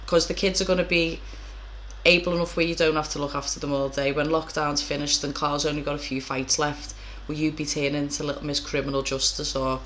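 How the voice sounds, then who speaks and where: Low-voiced chatty woman. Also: flat delivery, a little fast, fairly bright tone, a little hiss or hum. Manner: blunt, swearing, chatty. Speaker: interviewer, professional boxer. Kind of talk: casual chat.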